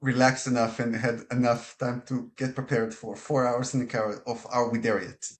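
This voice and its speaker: A man in his thirties from Israel, with an Israeli accent, talking as a podcast guest in a relaxed, wry, joking way. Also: mid-range voice, moderate pace. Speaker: podcast guest, product manager. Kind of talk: casual interview conversation.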